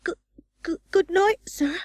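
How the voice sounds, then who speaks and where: A woman's voice: very high voice, measured pace, no room sound. A woman voicing a frightened, polite little orphan boy in an audiobook, timid and pleading, with an English accent. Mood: nervous. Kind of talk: audiobook character acting.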